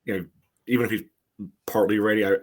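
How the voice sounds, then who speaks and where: Man, low voice, flat intonation, podcast co-host, casual analysis, halting conversational delivery.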